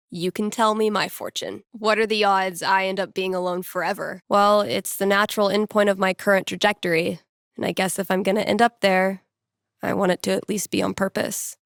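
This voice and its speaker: A woman's voice: medium pitch, a bit bright, dry recording, close to an everyday voice. Dry, sardonic young radio DJ played by a woman. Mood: wry, reflective. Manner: dry, sarcastic, conversational. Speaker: radio host. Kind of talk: video game dialogue, casual radio patter.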